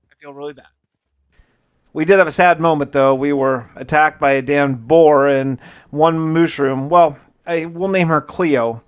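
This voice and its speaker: A man narrating his survival game in the first person as roleplay, talking in a casual, confiding way. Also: fairly high pitch.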